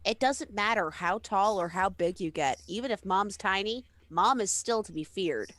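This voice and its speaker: A woman's voice: mid-range voice, normal speed, a bit bright, no echo. A woman bantering in a casual book club chat, blunt and teasing, swearing offhandedly.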